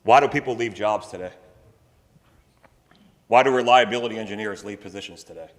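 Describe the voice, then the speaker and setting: Man, panelist, loud projecting voice, low-ish pitch, long hall reverb. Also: a little fast. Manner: loud, projects without a microphone. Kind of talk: conference panel talk. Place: conference session room.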